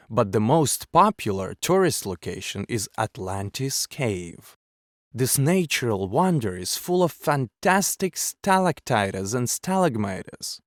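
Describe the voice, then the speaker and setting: A man reading scripted narration in a measured, clearly enunciated documentary manner. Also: mid-range voice, no room sound, studio quality. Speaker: voice actor.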